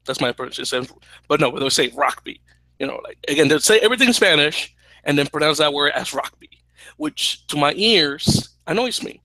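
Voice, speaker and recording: A man's voice: fairly high pitch, animated, a little fast, bright, forceful tone, no room sound, poor audio. A young Dominican man co-hosting a podcast, explaining at length with an opinionated, chatty manner, on a headset mic in a small room at home. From Dominican Republic. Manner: explanatory, opinionated, restarts phrases. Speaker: podcast co-host. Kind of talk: casual chat.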